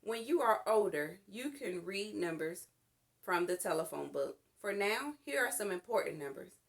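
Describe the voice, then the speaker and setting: A woman reads the part of a patient mother in a children's storybook. She explains calmly and stays firm, with expressive movement in her pitch. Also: normal speed, close to an everyday voice. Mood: instructive. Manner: calm, firm, instructive. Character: patient, firm, caring mother of a little girl. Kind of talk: children's storybook read-aloud.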